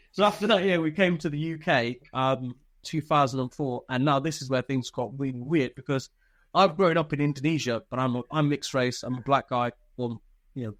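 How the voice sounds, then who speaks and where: A man telling personal stories casually as an interview guest, chatty and informal, swearing freely and often chuckling. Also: medium pitch. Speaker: interview guest, former soldier.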